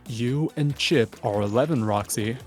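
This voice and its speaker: A man's voice: mid-range voice, measured pace, faint music behind, lightly acted. A man voicing a tired, patient young cartoon dad in a weary manner.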